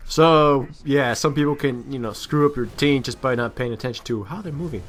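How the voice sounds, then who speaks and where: A man's voice: mid-range voice, fairly quick. A man giving casual, off-the-cuff gameplay commentary, rambling and correcting himself in crude, slangy language. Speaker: gaming video commentator.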